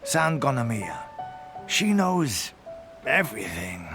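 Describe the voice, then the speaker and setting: An actor playing a sleepy, easygoing loner soldier in a video game, languid and laid-back. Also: male voice, medium pitch, sing-song, takes it slowly, over music. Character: drowsy, easygoing loner soldier, keeps to himself.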